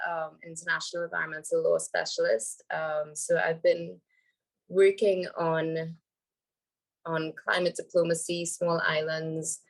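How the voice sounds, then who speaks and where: A woman, hoarse from a cold, speaking on a video call as a panel speaker, thoughtful with many ums and restarts. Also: on the low side, poor audio. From Trinidad and Tobago. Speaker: panel speaker, environmental lawyer. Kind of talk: casual panel talk.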